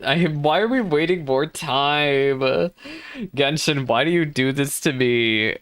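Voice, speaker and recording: A woman with a very deep voice chats casually as she reacts to a video game. She talks back to the characters like a streamer, close and dry on the mic. Game music sits behind her. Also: slow, clear, resonant tone, dry recording. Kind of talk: casual live reaction commentary.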